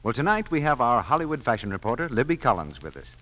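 A man's voice: mid-range voice, very animated, fast talker, fairly bright tone. An American man announcing in 1940s radio style, with scripted sponsor banter. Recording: noisy old 1940s radio recording.

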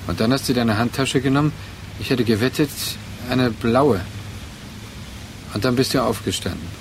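A man acts out a brooding former lover in a literary radio drama. He speaks in halting, reflective phrases at an ordinary male pitch, as if to a woman he remembers. Clear ambient noise sits behind the voice. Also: lightly acted, rough recording.

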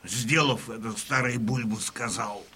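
A man acting a proud wounded Cossack veteran who tells war news in a declamatory, deliberate way, in an audio drama. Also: medium pitch, sharp tone, a little hiss or hum. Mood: solemn, stirring.

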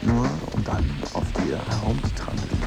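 Casting: pompous, contemptuous man, lively swooping declamation in short fragments, loud music behind. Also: fairly low pitch, moderate pace, vocal fry. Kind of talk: stylised radio drama collage.

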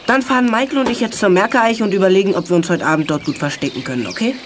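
A woman's voice: mid-range voice, very fast, a little hiss or hum, close to an everyday voice. A woman playing a practical, confident child detective in a youth radio play.

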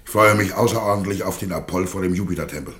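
An actor playing a mild, bookish Germanic warrior in a radio play, polite and matter-of-fact. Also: male voice, low-pitched, fast, a bit bright. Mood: genial, curious. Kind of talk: theatrical radio play dialogue.

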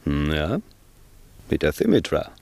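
A man's voice: very deep voice, huge pitch swings, measured pace, vocal fry, a little mellow, dry recording, full character voice. An actor playing a laconic, indulgent island man in a German radio play.

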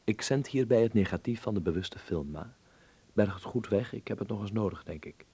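Husky, low-voiced young Dutch artist. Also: male voice, fairly quick, dark tone. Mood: reflective. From Netherlands. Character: earnest, dreamy young Dutch male artist filmmaker. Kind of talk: radio drama acting with letter narration.

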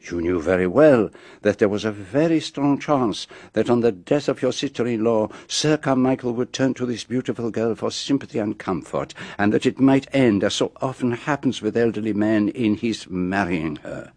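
An actor playing a shrewd, self-assured male detective with a French-speaking accent, speaking in measured, pompous reveals in a radio drama. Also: fairly low pitch, a little mellow, no room sound. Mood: accusing.

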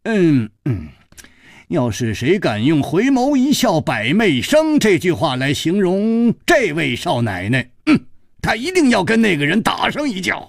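Man doing a teasing gallant swordsman, playful, wry, raised lighter voice, very lively melody. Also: sits high, a little slow, gravelly, no room sound, clean studio sound, pitched above the performer's own voice, full character voice. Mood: wry sighing. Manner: playful, sighing, laughing. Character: gallant witty male swordsman hero, teasing.

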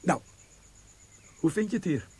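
A man's voice: sits high, expressive, a little slow, darkish tone, slight background noise. An actor playing a genial, knowing, well-connected military man in a Dutch radio play. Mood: informative.

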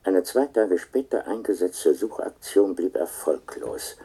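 An actor playing an impersonal official who reads a personnel record in a formal, clipped and detached way in a radio drama. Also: male voice, low voice, lively intonation, measured pace, dark tone, breathy edge, heavily acted. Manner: clipped, detached, matter-of-fact.

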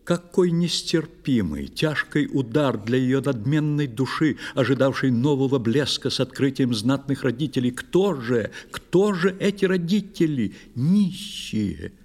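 A man narrating a children's audio play in verse with a theatrical, expressive delivery. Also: medium pitch, a little slow, hardly any pauses. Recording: long hall-like reverb.

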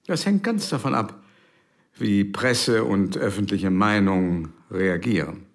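A man's voice: fairly low pitch, animated, takes it slowly. An actor playing a stern male official giving curt, authoritative orders in a radio drama. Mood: commanding.

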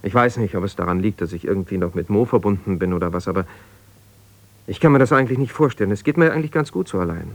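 An actor playing a wounded, self-justifying husband in a naturalistic radio drama, talking in a hesitant, searching, conversational way. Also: male voice, lowish voice, expressive, fast, no room sound. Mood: confiding, troubled. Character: defensive, wounded husband pouring out his troubles to a confidante. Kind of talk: naturalistic 1970s German radio drama monologue.

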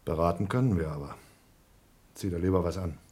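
Resigned, husky astronaut. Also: male voice, low-pitched, a little fast. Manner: calm, resigned, dry. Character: calm, resigned male astronaut. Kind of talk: 1970s radio play acting.

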